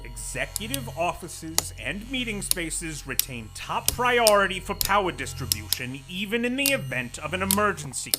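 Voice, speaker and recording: An actor playing a pompous, bullying corporate vice president in an audio drama, imperious, condescending and sarcastic. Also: male voice, high voice, very animated, normal speed, hardly any pauses, over music. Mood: cold, officious. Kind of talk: theatrical audio drama acting.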